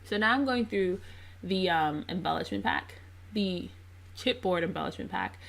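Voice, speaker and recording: A woman's voice: mid-range voice, moderate pace, gravelly. A woman narrating a crafting video in a casual, chatty voice-over. She sounds congested and under the weather. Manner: chatty and relaxed, with frequent ums. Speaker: scrapbook crafter narrating her process video.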